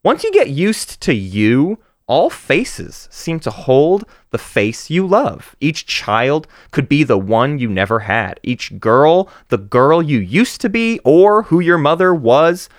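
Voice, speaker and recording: A man co-hosting a casual book podcast, talking about and reading poetry with animated emphasis and halting restarts. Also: a little high, dry recording, studio quality. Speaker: podcast co-host.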